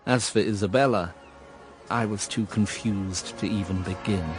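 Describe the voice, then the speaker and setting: A man's voice: lowish voice, moderate pace, faint music behind, lightly acted. An actor playing a wry, reflective man narrating his memories in a radio drama. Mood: rueful. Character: wry, reflective man recalling past events. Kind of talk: scripted first-person radio drama narration.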